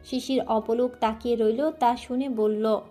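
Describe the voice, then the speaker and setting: Woman narrator, soft, emotive, slightly high voice, faint music under. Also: steady tone, unhurried. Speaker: story narrator. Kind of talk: scripted romantic story narration.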